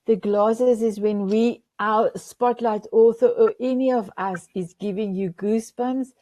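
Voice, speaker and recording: A woman's voice: a little high, fairly even intonation, measured pace, dark tone, no echo. A woman whose first language is Afrikaans, hosting a talk show in an effusive way, often searching for words. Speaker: show host.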